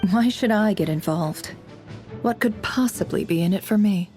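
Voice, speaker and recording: A woman playing a shrewd, aloof intelligence boss in a video game, speaking in a cool, sardonic, deliberate way. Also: mid-range voice, a little mellow, faint music behind, natural voice. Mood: haggling, smug. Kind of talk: English video game dub.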